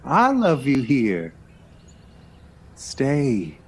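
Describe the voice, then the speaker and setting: An actor playing a devoted, teasing lover in an audio drama, affectionate and dryly playful, with a husky, gravelly voice. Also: male voice, mid-range voice, huge pitch swings, extremely slow, heavily acted. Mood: tender, pleading. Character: devoted, teasing male lover of a jazz composer.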